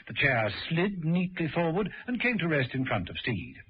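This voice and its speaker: Animated male radio-drama narrator. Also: fairly high pitch, normal speed, slightly rough. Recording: dull old radio recording.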